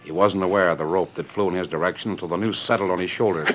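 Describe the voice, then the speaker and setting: Deep-voiced vintage western radio narrator, a man. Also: fairly even intonation, very fast, slight background noise, close to an everyday voice. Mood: suspenseful. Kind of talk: scripted 1940s radio narration. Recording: muffled 1940s radio recording.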